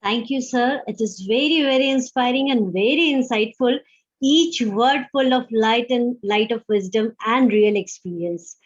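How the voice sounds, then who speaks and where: A woman moderator with a somewhat high voice, introducing the next speaker in a polite, ceremonious way. The recording sounds dull and lo-fi. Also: a little slow. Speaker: event moderator. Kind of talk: formal introduction.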